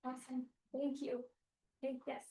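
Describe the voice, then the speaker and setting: A woman with a high, light voice leads a group conversation in a meeting room. She is heard from some distance, and the room is echoey. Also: completely flat delivery, mellow. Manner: quick, clarifying, filler "like". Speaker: discussion group host. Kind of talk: casual group discussion.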